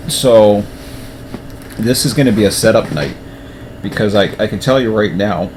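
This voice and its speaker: A fairly low-voiced man talks through his setup in loose, rambling commentary, often restarting sentences as if thinking out loud. His throat is going dry, and a faint hum sits behind him. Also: normal speed, poor audio. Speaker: train simulator streamer. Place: at a table at home.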